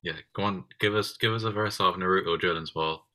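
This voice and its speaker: Very deep, bright man. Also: brisk. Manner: teasing, egging others on. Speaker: podcast co-host. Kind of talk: casual chat.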